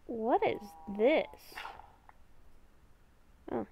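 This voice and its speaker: A woman with a high voice narrates her own gameplay casually. She speaks slowly, in short halting phrases, as if thinking aloud to herself. There is background noise on the recording. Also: creaky, muffled recording. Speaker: gamer recording a roleplay series.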